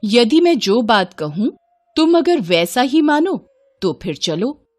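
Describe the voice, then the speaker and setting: A woman's voice: fairly high pitch, sing-song, takes it slowly, a little mellow, voice pushed up, exaggerated voice. A woman storyteller voicing a stern, commanding male god in a devotional tale, with formal, authoritative delivery. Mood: firm.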